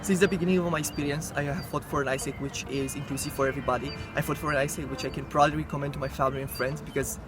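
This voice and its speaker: A man in his twenties from Romania with a Romanian accent, presenting himself earnestly and haltingly in a semi-prepared video. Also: a little high, steady tone. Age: 20s. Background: loud music.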